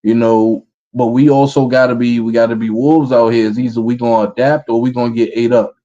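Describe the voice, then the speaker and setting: A man in his thirties with a New York accent, a rapper answering interview questions in a relaxed way. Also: medium pitch, brisk, dull audio. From Brooklyn, New York, USA. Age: 30s. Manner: relaxed, repeats "feel what I'm saying". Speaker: rapper guest.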